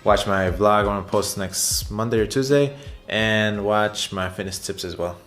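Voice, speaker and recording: A young man with a lowish voice chats casually to his viewers like a vlogger telling a story. He is animated and informal. The room is noticeably echoey, with faint music underneath. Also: normal speed. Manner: animated retelling, chuckles often, addresses viewers as guys. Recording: roomy echo, music underneath.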